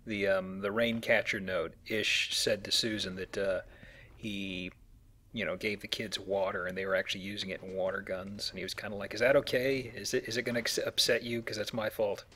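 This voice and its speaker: A man with a fairly low voice commentates casually on a video game. He explains in a conversational way, over faint game ambience and noise. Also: little pitch movement, fairly quick, sharp tone. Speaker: Let's Play host.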